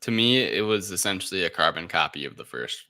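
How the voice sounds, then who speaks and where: A man's voice: sits low, lively intonation, a little fast, pushed and bright, no echo. A man co-hosting a podcast, casually recapping a film in a hesitant, rambling way. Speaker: podcast co-host.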